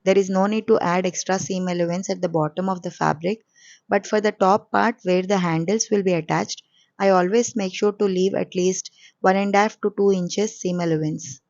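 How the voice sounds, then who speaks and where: A woman hosting a sewing channel, explaining each step calmly in a voice-over, her voice coarse and hoarse from a cold. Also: medium pitch, moderate pace, no room sound, highs cut off, faint music behind.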